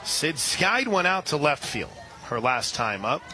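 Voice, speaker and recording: A man doing live radio play-by-play for a softball game, chatting between pitches and shouting on big plays. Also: sing-song, measured pace, slight background noise. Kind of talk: live radio sports commentary.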